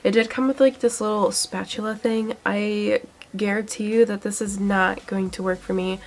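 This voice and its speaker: A woman's voice: mid-range voice, fairly even intonation, normal speed. A young woman talks through a skincare test with a stuffy, congested voice from a cold. She chats eagerly and loosely, filling her talk with likes and ums. She sounds close to the camera in a small room. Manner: chatty, filler-heavy, eager. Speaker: beauty vlogger. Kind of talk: casual product review.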